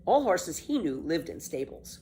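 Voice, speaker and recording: A woman narrating a children's book as an expressive, measured storyteller. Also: sits low, a little hiss or hum.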